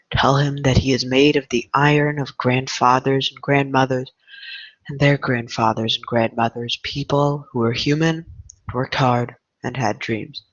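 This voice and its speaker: A tender, earnest boy recites a poem , acted by a man. The delivery is flat and even at a middle pitch, on a dry, dull recording. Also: no echo, lightly acted.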